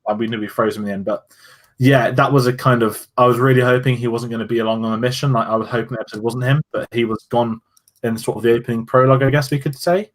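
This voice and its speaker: A man's voice: mid-range voice, fairly even intonation, fast. A man co-hosting a casual review chat, musing loosely with restarts and fillers. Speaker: podcast co-host.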